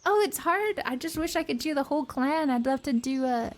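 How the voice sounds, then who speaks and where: Hoarse young woman chatting on stream. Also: high voice, lively intonation, brisk. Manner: chatty and rambling, with many fillers and giggles. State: hoarse, voice worn out. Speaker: streamer reading a book aloud. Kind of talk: casual stream chat after a read-aloud.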